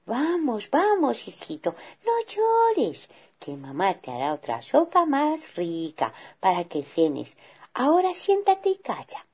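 A woman's voice: mid-range voice, wildly swooping pitch, slow pace, few pauses, husky, voice pushed up, full character voice. A woman voicing a kind mama bear who coaxes and soothes. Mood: soothing. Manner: coaxing, gently firm.

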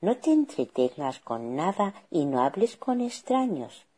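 Casting: woman as caring fairy-tale mum, mellow and slightly husky, softly instructing. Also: lowish voice, big pitch swings, a little slow, full character voice. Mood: caring, cautioning. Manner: gentle, cautioning. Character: caring, confident mother advising her young daughter.

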